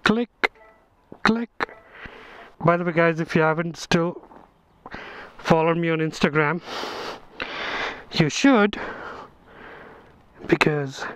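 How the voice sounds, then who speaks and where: A man's voice: on the high side, level tone, slow, pauses often, soft background music. A man giving casual, informal commentary to viewers as a motovlog host, speaking into a helmet setup outdoors. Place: outdoors, riding a motorbike on rural roads. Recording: loud wind and motorbike engine noise.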